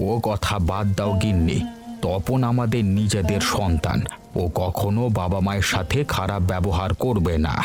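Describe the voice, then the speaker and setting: An actor playing a patient middle-aged village husband and shopkeeper in a fairy tale cartoon, speaking in a gentle, placating way. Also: male voice, low voice, moderate pace, faint music behind, close to an everyday voice. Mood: earnest, kindly.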